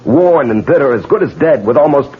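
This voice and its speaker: An actor playing a bitter, vengeful husband in a 1970s radio drama, sardonic and brooding. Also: male voice, medium pitch, animated, brisk, husky. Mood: coldly bitter. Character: betrayed husband, bitter, coldly vengeful man. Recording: muffled vintage radio broadcast.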